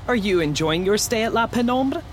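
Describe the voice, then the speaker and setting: An actor playing a coolly courteous, unflappable hotel servant in a noir audio drama, stiff and deferential. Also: male voice, sits high, lively intonation, a little fast, background noise. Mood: stiffly polite.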